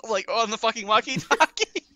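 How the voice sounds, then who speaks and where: Bright, close-miked tabletop player. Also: mid-range voice, expressive, a little fast, no room sound. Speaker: player in a tabletop role-playing game. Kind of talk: casual, sweary banter.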